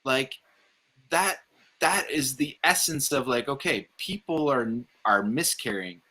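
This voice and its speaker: A man's voice: medium pitch, expressive, a little slow, bright, forceful tone. A man in a casual film discussion, speaking emphatically and repeating phrases to press his points. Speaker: film review podcast panelist.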